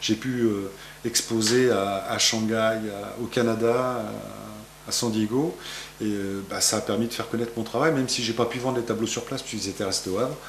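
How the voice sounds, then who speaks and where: A man's voice: on the low side, fairly even intonation, normal speed, vocal fry, echoey room, slight background noise. A man in his fifties from France, a painter answering interview questions in his gallery, rambling with many fillers and restarts. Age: 50s. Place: art gallery, indoors.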